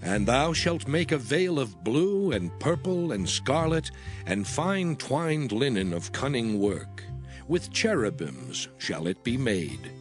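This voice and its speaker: A man narrating a dramatized scripture reading in a solemn, measured, formal manner. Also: mid-range voice, sing-song. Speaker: audio Bible narrator. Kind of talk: dramatized scripture reading from the King James Bible. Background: background music.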